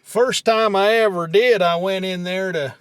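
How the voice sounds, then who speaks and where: A man puts on a simple older country character. The voice sits high and sounds close and dry, without room sound. The delivery is laconic, folksy and deadpan, as comedy podcast banter. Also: measured pace, clear, resonant tone, no echo. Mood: deadpan, sheepish. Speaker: podcast co-host.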